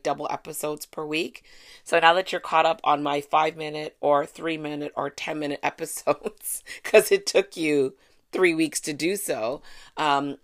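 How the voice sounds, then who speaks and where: A woman's voice: sits low, a bit bright. A woman hosting her own podcast alone at home, talking in a chatty, sarcastic, rambling way. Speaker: podcast host. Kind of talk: casual solo podcast monologue. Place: at home, fan running.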